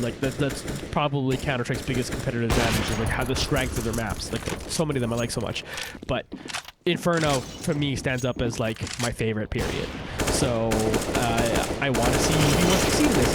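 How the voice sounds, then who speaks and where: A man's voice: medium pitch, noisy background. A young man casting a game on a livestream with a cold, chatty and rambling, with many fillers and restarts. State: has a cold, stuffy. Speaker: esports shoutcaster. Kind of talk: casual play-by-play commentary on a livestream.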